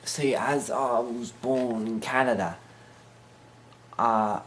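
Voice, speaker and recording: A man's voice: mid-range voice, takes it slowly, a little hiss or hum. A teenage boy talking in a put-on British accent, rambling hesitantly through a joking mock interview with many ums and restarts.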